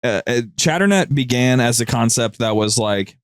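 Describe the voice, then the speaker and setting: A man's voice: medium pitch, lively intonation, normal speed, clear, resonant tone, no echo, studio quality. Casual rambling man telling anecdotes. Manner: rambling, informal, conversational storytelling. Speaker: podcast guest, show producer.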